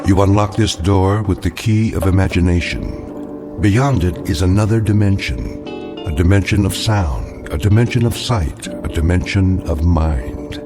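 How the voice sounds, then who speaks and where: A man narrating a radio drama as a grave, knowing storyteller, measured and portentous with a wry edge. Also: sits low. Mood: grave, ominous. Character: grave, knowing, ominous storyteller of strange tales. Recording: music under the voice.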